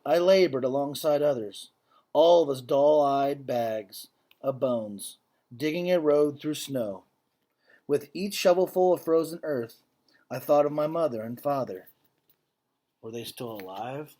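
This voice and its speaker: A man's voice: on the high side, unhurried, mellow. Man reading a story aloud. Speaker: teacher reading aloud to students. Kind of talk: picture book read-aloud with casual explanatory asides.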